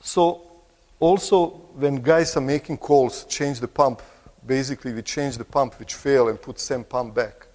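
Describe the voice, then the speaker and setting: A middle-aged man with a Croatian accent giving a conference presentation, halting and restarting phrases and clearing his throat now and then. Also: medium pitch, darkish tone. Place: large conference hall. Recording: long hall reverb.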